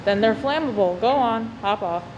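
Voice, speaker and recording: Woman as a brisk, wisecracking bus conductor. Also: mid-range voice, animated, dull audio, close to an everyday voice. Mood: brisk, bossy. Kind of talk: radio play acting. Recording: clearly noisy recording.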